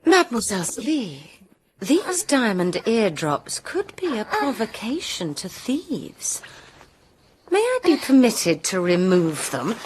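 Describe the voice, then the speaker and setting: A woman's voice: fairly high pitch, huge pitch swings, slow pace, background noise, heavily acted. An actress playing a cruel, scheming female criminal in a radio drama, taunting and menacing.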